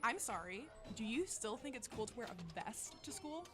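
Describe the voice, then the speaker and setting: An actress playing a snide, bossy teenage cheerleader who mocks and taunts in an audio drama. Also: female voice, on the high side, very animated, moderate pace, over music. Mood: mocking. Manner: mocking, taunting. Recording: busy crowd chatter around the voice. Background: crowd in a school hallway.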